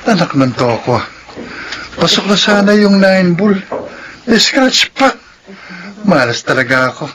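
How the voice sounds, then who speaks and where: A man's voice: sits high, sing-song, unhurried, long pauses, fairly bright tone, exaggerated voice, rough recording. A man acting a stubborn, lovelorn young suitor, insistent and grumbling, in a radio drama with faint ambience behind.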